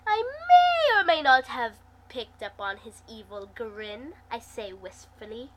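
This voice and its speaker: A woman reading fan fiction aloud in an expressive, dramatic manner, acting out the dialogue as she goes. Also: on the high side, a little slow, background noise. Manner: animated, dramatic delivery. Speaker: amateur fan fiction reader, host.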